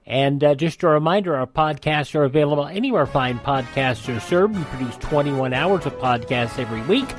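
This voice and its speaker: Man, radio presenter, emphatic announcer style, animated intonation, faint music underneath. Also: on the high side, no echo. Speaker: radio show host. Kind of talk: radio presenting, scripted with casual asides.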